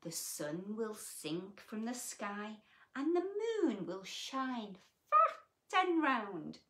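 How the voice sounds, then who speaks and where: A woman reading a children's picture book aloud as a story host, expressively, with hushed asides and questions to her young listeners. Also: on the low side, creaky.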